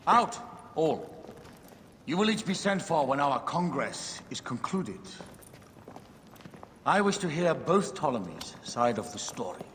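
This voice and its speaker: Imperious Roman ruler, swooping delivery. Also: male voice, fairly high pitch, big pitch swings, a little slow, long pauses, fairly bright tone. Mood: commanding. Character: commanding Roman ruler, worldly, imperious and wry. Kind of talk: video game dramatic acting. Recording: reverberant hall, faint background noise.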